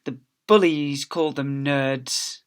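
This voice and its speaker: A man's voice: mid-range voice, fairly even intonation, takes it slowly, clear, resonant tone. A man, a teacher reading aloud, tells a children's story expressively, with theatrical emphasis and phrases that drop away at the end.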